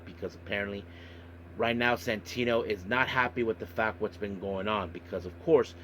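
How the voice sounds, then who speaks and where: A man hosting a podcast monologue, recapping and commenting on events in a halting way with repeated filler phrases. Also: lowish voice, fairly bright tone, a little hiss or hum. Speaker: wrestling podcast host.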